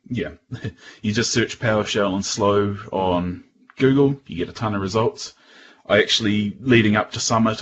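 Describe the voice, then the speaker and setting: A man with a New Zealand accent giving an informal technical presentation in a casual, halting way. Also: on the low side, dull audio. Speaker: guest presenter at a tech user group.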